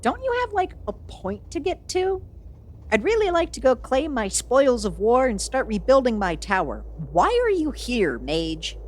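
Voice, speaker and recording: An imperious villainous spellcaster queen from a fantasy audio drama, played by a woman. The voice is high and swings dramatically up and down, quick to take offence and full of melodramatic indignation. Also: big pitch swings. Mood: exasperated, suspicious. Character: haughty, easily affronted young female evil sorceress queen. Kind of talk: ASMR audio roleplay acting.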